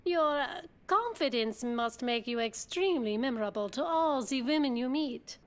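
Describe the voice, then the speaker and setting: A woman's voice: sits high, animated, normal speed. An actress playing a bold, flirtatious lady gambler in an audio spy game, with a playful, teasing manner.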